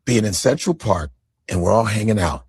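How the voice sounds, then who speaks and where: A man in casual conversation who keeps jumping in with quick agreement and short stories. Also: fairly low pitch, animated, darkish tone, breathy edge, no room sound, studio quality.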